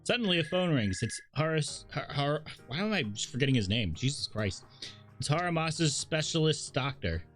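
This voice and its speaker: A man's voice: fairly high pitch, very animated, moderate pace, a bit bright, soft background music. A man livestreaming a game, commenting casually and reactively and reading out the narration in an informal way.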